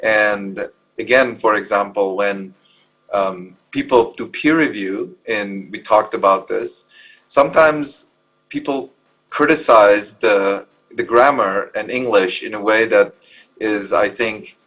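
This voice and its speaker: A man from Lebanon who is not an English speaker, talking in a reflective, measured way as a scientist on a panel. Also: lowish voice, a bit bright, rough recording. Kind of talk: thoughtful panel discussion. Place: live panel event in a hall.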